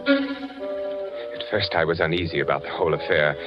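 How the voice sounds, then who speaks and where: Polite, uneasy man with a secret, 1940s radio suspense; animated, expressive delivery on a dull old recording. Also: deep voice, moderate pace, over loud music. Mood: brooding. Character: courteous, secretive, uneasy man leading a double life. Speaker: actor and first-person narrator.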